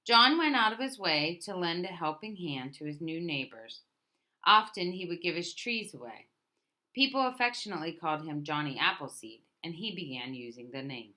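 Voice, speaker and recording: A woman reading a picture book aloud as a storyteller, in a deliberate manner with emphatic openings to her phrases. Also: fairly low pitch, lively intonation, sharp tone. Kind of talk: scripted picture book narration.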